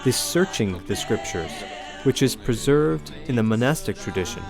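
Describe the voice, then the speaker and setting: A man delivers a scripted voice-over translation at a middle pitch. His intonation moves around a lot, and music plays clearly behind him. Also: lively intonation, normal speed. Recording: music bed under the voice.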